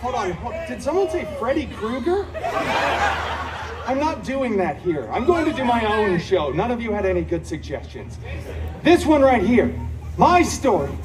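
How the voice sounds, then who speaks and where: An actor playing a wisecracking, campy superhero antihero telling a story on a theme park stage, theatrical and hammy with sarcastic asides. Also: male voice, very high-pitched, big pitch swings, normal speed, far from the mic, very noisy. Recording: live outdoor show, amplified over crowd and music. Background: audience crowd and music.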